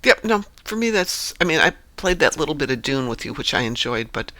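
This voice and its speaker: A man's voice: on the high side, very animated, fairly quick, sharp tone, no echo. A man streaming a game, chatting casually and musing aloud as he sorts through menus. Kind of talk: casual chat while gaming.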